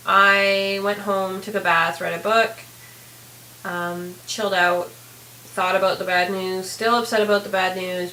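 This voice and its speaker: A woman with a hoarse, raspy voice giving a candid, rambling video diary update, full of fillers. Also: mid-range voice, sharp tone, reverberant, slight background noise, low-quality recording. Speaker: vlogger.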